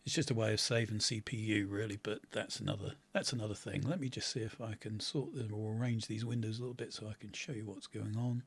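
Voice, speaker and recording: A middle-aged man, a bit hoarse from a cold, explaining synth settings in a relaxed tutorial, full of ums and restarts. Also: fairly low pitch, quick pace, hardly any pauses. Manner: relaxed, talks while clicking, many ums and restarts. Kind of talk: casual screen-recorded software tutorial. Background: faint synth music.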